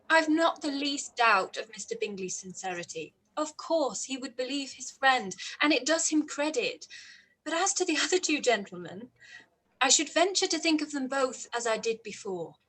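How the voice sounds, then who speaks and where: A woman playing a headstrong, articulate young gentlewoman in a period radio play, indignant and self-assured. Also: sits high, expressive, moderate pace, poor audio. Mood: assured, stubborn.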